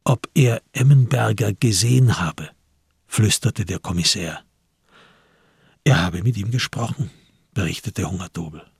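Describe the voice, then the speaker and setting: A husky male narrator reads literary radio drama. His delivery is slow, grave and deliberate, in a lowish voice recorded close and dry. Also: a little mellow, dry recording.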